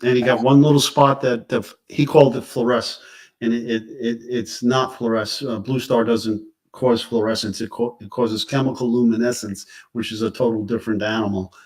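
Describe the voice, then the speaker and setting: A man's voice: medium pitch, steady tone, a little mellow. Man with medium voice, careful explaining, backtracks to fix his wording. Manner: deliberate, explanatory, self-correcting. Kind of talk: courtroom discussion. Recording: courtroom playback on live stream.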